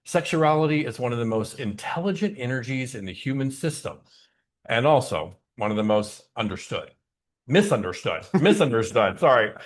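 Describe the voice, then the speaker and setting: Animated man, gentle spiritual service leader. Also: mid-range voice. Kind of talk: guided spiritual service welcome and announcements.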